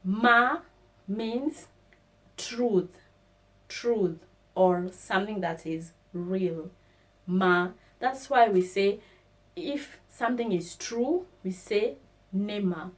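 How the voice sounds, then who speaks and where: Slow, deliberate woman teaching vocabulary. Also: medium pitch. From Kenya. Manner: slow and deliberate, repeating each word. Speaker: language teacher.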